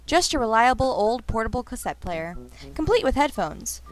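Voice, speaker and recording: Woman as a high-voiced, wry young girl adventurer. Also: very animated, normal speed, faint music behind. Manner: wry, casual, dry asides. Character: sardonic young girl adventurer, narrating her nervous exploring. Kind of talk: fandub game narration and dialogue.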